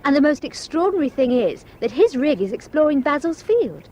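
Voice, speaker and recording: An actress playing Susan, a cheerful young wife in a radio drama. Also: female voice, high-pitched, very animated, fairly quick, darkish tone. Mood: light.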